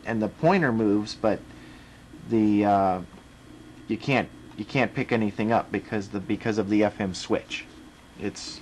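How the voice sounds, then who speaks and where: An American man giving a casual, unscripted demonstration, explaining step by step in a halting way. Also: fairly low pitch. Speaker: video presenter demonstrating a radio. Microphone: camera microphone. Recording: faint background noise, home camera recording.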